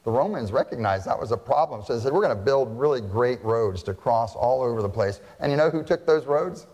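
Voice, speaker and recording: An American pastor preaching a sermon, talking to the congregation in a conversational way and asking them frequent questions. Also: male voice, mid-range voice, animated, a little fast, a little mellow, long reverb tail. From United States. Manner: conversational, asks the audience questions.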